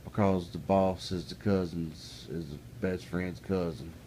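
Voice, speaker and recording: A man's voice: deep voice, fairly even intonation, measured pace, dark tone, slight background noise. A middle-aged man talking casually to camera in a plainspoken, folksy way, building into an exasperated rant. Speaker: vlogger. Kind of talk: casual ranting monologue.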